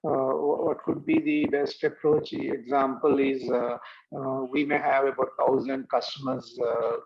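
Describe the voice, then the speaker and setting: A man's voice: mid-range voice, flat delivery, gravelly. Hesitant man on a muffled call. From India. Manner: hesitant, many "uh" pauses. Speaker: business owner asking a question. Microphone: video call. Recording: dull, muffled call audio.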